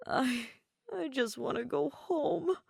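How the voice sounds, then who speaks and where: A woman's voice: high-pitched, slow pace, vocal fry, darkish tone, no room sound, pitched above the performer's own voice, full character voice. Girl doing a weary young traveler boy in a fanfic, awkward, sighs a lot, very dry recording. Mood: nervous. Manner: sighing, hesitant.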